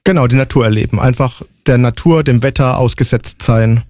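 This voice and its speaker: A man in his forties speaks as a guest in a casual interview. His voice sits at an average pitch and his answers are matter-of-fact and to the point. The sound is dry, without any room echo. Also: brisk, darkish tone. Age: 40s. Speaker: interview guest, long-distance hiker.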